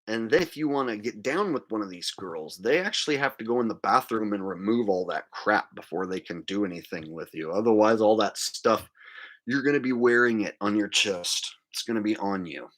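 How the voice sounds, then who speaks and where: A man's voice: fairly low pitch, lively intonation, fairly quick, fairly bright tone. A man hosting a livestream, delivering a casual, crude, mocking rant in a monologue. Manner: mocking, crude, acting out mimicry. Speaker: livestream host.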